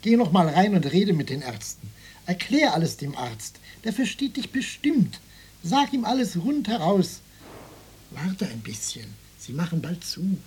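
A mellow, very lively voice playing a motherly bystander in a radio play. The woman is frank and urging, counselling in plain words. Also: on the low side, unhurried, breathy edge, heavily acted. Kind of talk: German radio drama acting.

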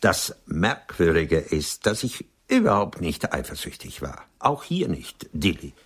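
An actor playing a melancholy older male writer in a radio play, confessing bitterly in a halting, resigned way, his breathy voice sinking toward a whisper. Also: deep voice, huge pitch swings, exaggerated voice.

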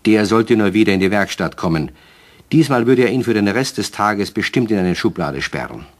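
A man's voice: lowish voice, animated, normal speed. A man narrating a German children's radio play as a scripted storyteller.

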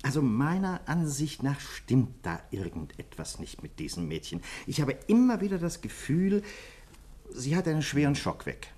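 An actor playing a courteous, authoritative senior London police chief in a 1950s German radio play. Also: male voice, fairly high pitch, very animated, moderate pace, warm tone. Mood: concerned, earnest.

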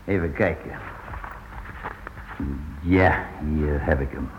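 An actor playing a formal, businesslike prison guard in a Dutch radio play. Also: male voice, extremely low pitch, takes it slowly, faint music behind. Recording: muffled, noisy old radio play recording.

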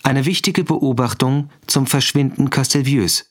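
A man's voice: medium pitch, steady tone, unhurried, a little hiss or hum. A man reading factual scripted narration and scene announcements in a radio drama.

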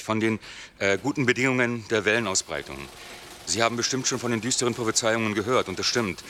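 A man's voice: on the low side, pushed and bright. An actor playing a careful, earnest male head of technical services being interviewed in a radio play, explaining hesitantly. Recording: faint background noise.

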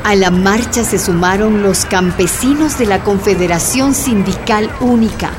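A woman's voice: mid-range voice, unhurried, music in the background. A woman delivering scripted narration for a radio drama.

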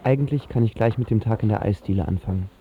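A man's voice: fairly low pitch, quick pace, phone-quality sound, over music, natural voice. An actor playing an inexperienced, lovestruck teenage boy who tries to act cool, narrating a radio drama colloquially and emphatically. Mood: smitten, casual. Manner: colloquial, emphatic, self-deprecating.

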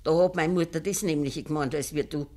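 A woman's voice: sits low, brisk, warm tone. An actress playing an elderly, blunt and morally firm peasant grandmother in a Bavarian dialect radio drama from the 1950s. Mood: grave storytelling.

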